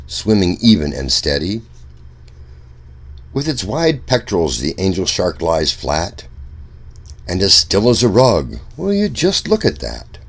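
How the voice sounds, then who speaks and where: A man reading a rhyming children's book aloud in a deliberate, sing-song storytelling manner. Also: sits low.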